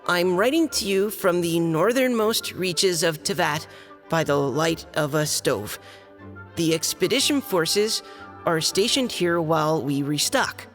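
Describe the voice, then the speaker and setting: A dutiful young knight reads a long letter aloud in a slow, deliberate way. His pitch sits in a middle range, and the hall gives a long reverb under faint music. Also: a bit bright. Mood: steady reading. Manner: careful, formal reading, halting when flustered. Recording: game cutscene with reverb and music.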